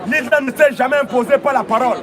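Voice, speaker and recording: Man, very high voice, street preacher, declamatory, loud crowd around. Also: quick pace. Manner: declamatory, repetitive, call-and-response. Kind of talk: open-air sermon. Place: outdoors in a city.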